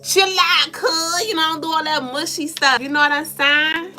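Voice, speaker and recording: A congested woman with a nasal, stuffed-up voice chats on her livestream, lively and playful, cursing freely as she greets viewers. Her voice sits a little high and sounds bright and sharp. Soft music plays faintly behind her. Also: moderate pace. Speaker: livestream co-host. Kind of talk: casual livestream chat with viewers.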